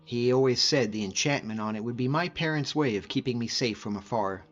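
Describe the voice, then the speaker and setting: A man playing a friendly, modest lone-wolf hunter as a video game companion, speaking in an earnest, measured way. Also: mid-range voice, fairly bright tone, lo-fi sound, soft background music, natural voice. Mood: reflective, candid.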